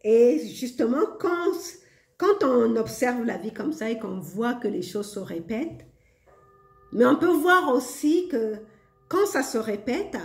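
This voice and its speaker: A woman's voice: fairly high pitch, a little mellow, reverberant. A woman vlogger talking casually and reflectively to her viewers, hesitant with many fillers and frequent laughs. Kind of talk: casual spiritual monologue.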